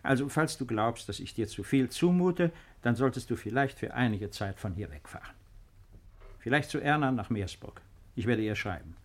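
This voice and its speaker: Principled estate-owning father, middle-aged male actor. Deliberate, earnest, unbending delivery for a 1950s radio drama. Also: medium pitch, animated, close to an everyday voice. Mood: calm, earnest. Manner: measured, earnest, deliberate phrasing.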